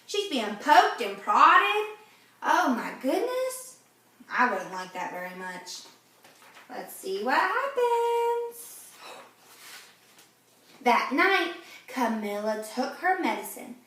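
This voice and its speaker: High lively lady reading a kids book slow, gentle teacher vibe, lots of echo, mic far. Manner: gentle, asks children questions, teacherly. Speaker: read-aloud host for children. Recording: very echoey room, off-mic.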